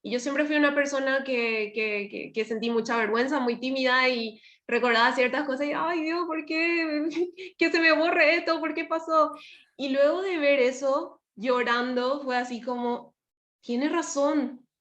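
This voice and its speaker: A woman's voice: sits high, steady tone, unhurried, a bit bright, roomy sound. A woman with a Paraguayan Spanish accent speaking casually and expressively as a panelist in a discussion.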